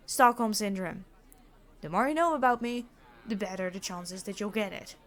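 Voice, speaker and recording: A woman playing a reluctantly decent supervillain in an audio roleplay, speaking in a dry, formal, bossy, matter-of-fact way. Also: a little high. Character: famous immortal supervillain, exasperated, reluctantly decent. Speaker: robbery leader.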